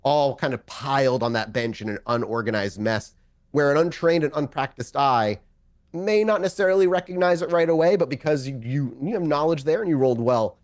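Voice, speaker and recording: A man's voice: medium pitch, a little fast. A man improvising narration as game master of a tabletop game, talking in a casual, conversational, sometimes profane way.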